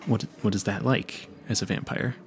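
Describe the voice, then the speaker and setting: Man playing a flustered, low, creaky-voiced vampire hunter. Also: fairly even intonation, lightly acted. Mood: curious, awkward. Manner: flustered, stammering, halting and self-correcting. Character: nervous, smitten, clueless young male vampire hunter. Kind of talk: audio roleplay, spoken intimately to the listener.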